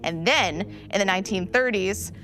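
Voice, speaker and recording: A woman's voice: medium pitch, moderate pace, fairly bright tone, soft background music, close to an everyday voice. An actress playing an enthusiastic geeky woman gamer in a live audio play, explaining things emphatically with casual slang. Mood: eager, explanatory.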